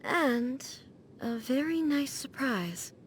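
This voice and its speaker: A woman's voice: high voice, expressive, slow, slight background noise. A woman playing a solemn, reserved, ageless ruler deity in a scripted video game, speaking formally and with restraint. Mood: softened, solemn.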